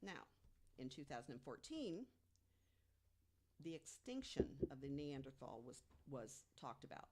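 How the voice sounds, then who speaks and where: A woman informally telling researched material as a podcast panelist, emphatic and deliberate, posing rhetorical questions. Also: extremely low pitch, very animated, noisy background.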